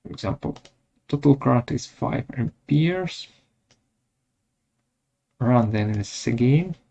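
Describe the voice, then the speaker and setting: A man presenting a step-by-step software tutorial in short, measured, halting phrases. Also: medium pitch, animated, gravelly. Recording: dull lo-fi audio.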